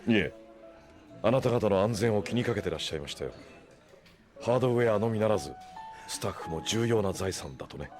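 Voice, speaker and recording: An actor playing a suave, courteous gentleman in an audio drama, formally polite and dryly teasing. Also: lowish voice, expressive, brisk, long pauses, natural voice. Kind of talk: Japanese audio drama acting. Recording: music playing clearly behind.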